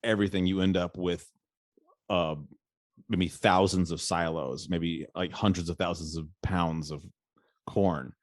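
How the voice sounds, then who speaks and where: A man's voice: sits low, fairly even intonation, unhurried, dry recording, clean studio sound. A man hosting a podcast, talking in a loose, rambling way and laughing often. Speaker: podcast host. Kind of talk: casual interview chat.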